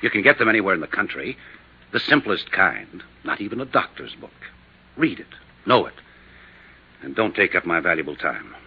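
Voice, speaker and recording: Irritable American physician, late thirties, deep, husky, curt, defensive, vintage radio drama. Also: male voice, big pitch swings, moderate pace, long pauses, full character voice. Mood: irritated. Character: irritable, overworked male doctor in his late thirties. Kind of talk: 1950s radio drama acting. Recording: muffled lo-fi 1950s radio recording.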